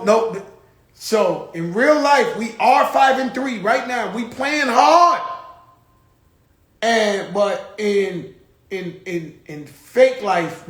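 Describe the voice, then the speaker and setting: A man's voice: sits high, a bit bright. Blunt, profane New man, echoey room. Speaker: show host. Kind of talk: casual monologue.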